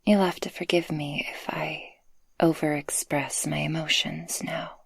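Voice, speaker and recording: A woman acts out a tender, possessive succubus guardian for an ASMR roleplay. Her voice is lowish and husky, with slow, intimate phrasing that often sinks toward a whisper. The sound is close and dry. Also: steady tone, dry recording, heavily acted. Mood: shy, earnest. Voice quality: breathy, husky. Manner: slow, soft, affectionate, intimate. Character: tender, possessive female succubus guardian, playful and shy in love.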